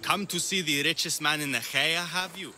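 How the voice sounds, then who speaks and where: An actor playing a pompous, boastful, eccentric philosopher of ancient Greece in a video game. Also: male voice, a little high, normal speed, music in the background, natural voice. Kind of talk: video game cutscene acting.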